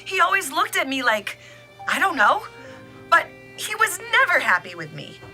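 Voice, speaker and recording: Sharp, high-voiced bitter daughter. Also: female voice, big pitch swings, moderate pace, soft background music, full character voice. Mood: hurt, resentful. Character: bitter, skeptical middle-aged daughter resenting her absent father. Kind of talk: audio drama acting.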